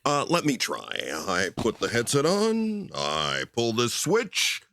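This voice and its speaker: Flustered commander, very animated pitch, eager, dry sound, radio sci-fi parody. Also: male voice, slow pace, no room sound, heavily acted. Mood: eager, curious. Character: flustered, skirt-chasing space cruiser commander, male. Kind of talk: old-time radio sci-fi parody acting.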